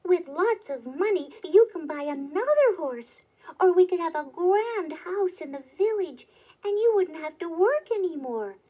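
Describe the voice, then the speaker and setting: A woman voicing an excitable, emphatic woodcutter's wife in a children's storybook. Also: very high voice, measured pace, dull audio, pitched above the performer's own voice. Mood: eager. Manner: exclamatory, emphatic.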